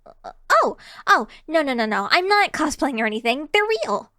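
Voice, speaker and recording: A woman's voice: high-pitched, very animated, moderate pace, pushed and bright, dry recording, studio quality, heavily acted. A woman voicing a shy, cheery young kitsune barista in an ASMR roleplay, chatting to the listener in a bashful, hesitant, giggly way. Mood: flustered.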